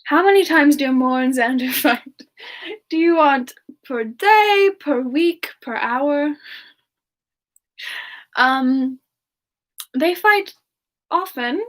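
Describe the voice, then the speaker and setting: A woman voicing a gentle, playful siren entertainer in a soft, hesitant semi-ASMR chat, answering questions in character. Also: sits high, animated. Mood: playful, teasing. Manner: soft, unhurried, giggly, hesitant.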